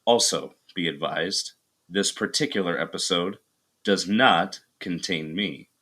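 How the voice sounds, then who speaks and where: A man's voice: low-pitched, unhurried, bright, forceful tone, husky. A man reading a content disclaimer, then complaining that he was left out of the episode. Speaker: lead actor delivering a comic disclaimer. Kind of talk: mock-indignant scripted disclaimer.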